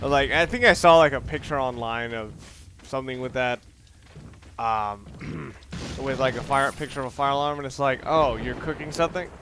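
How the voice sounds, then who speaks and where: Rambling male gamer over loud noise. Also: medium pitch, moderate pace. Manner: rambling, musing, swears casually. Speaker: let's play gamer. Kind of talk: casual off-the-cuff gameplay commentary. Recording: loud constant background noise.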